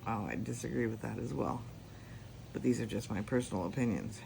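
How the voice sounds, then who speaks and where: A man from Canada reading questions and giving answers slowly and deliberately, adding his personal commentary. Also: fairly low pitch, gravelly, background noise. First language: English. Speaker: spiritual reader.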